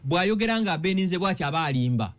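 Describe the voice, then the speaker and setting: A Ugandan man on a radio talk show, talking politics in an animated way and firing one rhetorical question after another. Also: high voice, fast talker. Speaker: political commentator.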